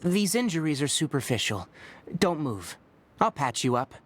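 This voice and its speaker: A man voicing a composed forest ranger in a game, calm, clinical and commanding. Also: a little high, normal speed, a little hiss or hum. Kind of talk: game voice acting.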